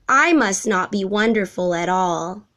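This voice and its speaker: A woman's voice: mid-range voice, expressive, unhurried, a bit bright. A woman voicing a small young male elephant in a storybook, earnest and childlike, hopeful but lonely. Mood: sad. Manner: earnest, childlike pleading and wondering.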